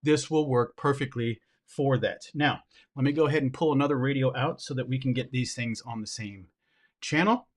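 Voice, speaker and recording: A man giving a hands-on product review, upbeat and enthusiastic, talking to viewers directly while he handles and shows the gear. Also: mid-range voice. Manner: talks while handling gear, brief pauses and asides.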